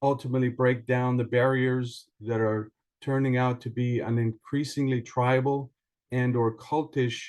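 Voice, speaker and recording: Measured, articulate man introducing himself. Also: medium pitch, a little mellow. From Iceland, raised partly in other countries. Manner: measured, articulate, formal wording. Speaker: participant, journalist and writer. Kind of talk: prepared self-introduction, as if reading a statement. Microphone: online video call.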